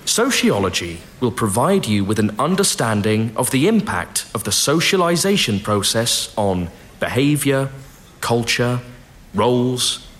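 Keen male lecturer, formal and deliberate, with lively swooping intonation, audio drama. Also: medium pitch. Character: keen male university sociology lecturer welcoming first-year students.

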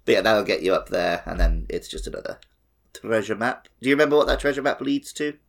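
A man's voice: fairly low pitch, expressive, fast, gravelly. A man co-hosting a gaming podcast in a casual, conversational way. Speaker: podcast host. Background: music.

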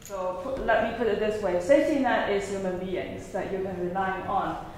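A woman's voice: medium pitch, normal speed, a little mellow. A woman guides participants through a workshop game, explaining the rules in an instructive, friendly way. Her voice rings with heavy echo in a large room, with a faint hum underneath. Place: large echoey museum room. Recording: very echoey room with background noise.

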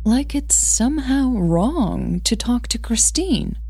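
A woman voicing a soft-spoken, gentle young female opera singer in an audio drama. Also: fairly high pitch, animated, takes it slowly, breathy edge, no echo, soft background music, voice pushed up, exaggerated voice. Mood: hurt, defensive.

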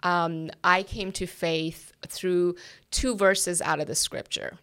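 Young female guest, slight Persian accent, earnest and halting, lowish clear voice. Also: steady tone, a bit bright. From Iran. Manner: earnest, hesitant with many ums. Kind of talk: telling her life story. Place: office.

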